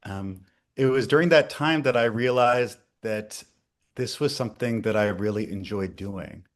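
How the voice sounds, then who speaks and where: Lower-pitched man, political candidate. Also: a little slow. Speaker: congressional candidate. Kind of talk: campaign introduction at a candidate forum.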